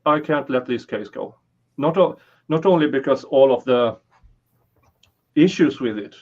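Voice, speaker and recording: A man's voice: medium pitch, lively intonation, a little slow, a little mellow. A Swedish man with a Swedish accent hosting a casual livestream chat, talking hesitantly with many fillers and restarts. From Sweden. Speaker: livestream host. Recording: slightly dull lo-fi audio.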